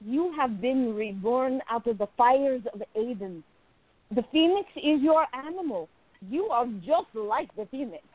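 A woman playing a playful, affectionate young artist in love in a radio play, with a teasing, expressive delivery. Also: high voice, a little slow, dry recording. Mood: tender, teasing. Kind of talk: amateur radio play acting. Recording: thin phone-like audio, dry.

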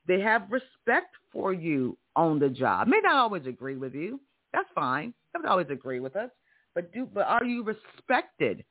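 A man's voice: high-pitched, wildly swooping pitch, moderate pace. A man with a strong voice hosting a career podcast, giving an emphatic motivational monologue full of rhetorical questions. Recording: tinny phone-like audio.

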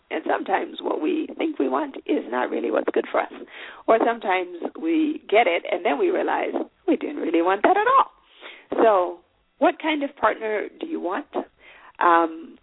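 A woman's voice: mid-range voice, creaky, husky, no room sound. A woman from Zimbabwe hosting a show, giving dating advice in an earnest, conversational way with many fillers. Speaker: show host. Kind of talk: solo advice talk. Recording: phone-like line with faint noise.

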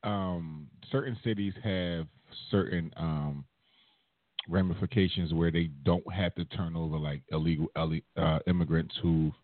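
A man's voice: low voice, fairly even intonation, moderate pace, no room sound. A man co-hosting a casual talk show, easygoing and hesitant with filler words, on a tinny, dry recording. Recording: tinny phone-like, dry sound.

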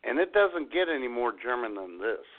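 A man's voice: mid-range voice, sing-song, a little fast. A man co-hosting a radio show with casual, wry, joking banter. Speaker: radio co-host. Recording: narrow, tinny phone-like sound.

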